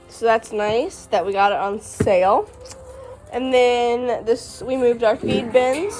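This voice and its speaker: A chatty woman with a fairly high voice, a little stuffy and congested. She talks excitedly, with plenty of "um"s, while filming outdoors beside a shed. Faint music plays softly underneath. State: stuffy from allergies. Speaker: farm vlogger. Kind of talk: casual vlog.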